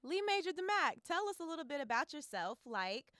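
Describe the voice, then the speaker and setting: A woman co-hosting a podcast in a high voice. She talks fast and keeps things upbeat with quick questions. The sound is dry and close to the mic. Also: sing-song, a bit bright, no echo. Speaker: podcast co-host.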